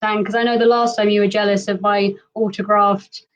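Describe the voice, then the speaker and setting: A woman hosting a live stream, upbeat and quick to laugh. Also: medium pitch, level tone, far from the mic, poor audio. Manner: bright, giggly, upbeat. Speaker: host. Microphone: video call.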